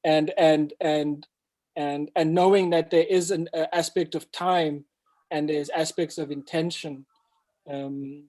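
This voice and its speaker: A man's voice: fairly high pitch, fairly even intonation, takes it slowly, a little mellow. A man chatting casually on a video call, speaking reflectively and often repeating words as he finds his thought. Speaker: host and storyteller.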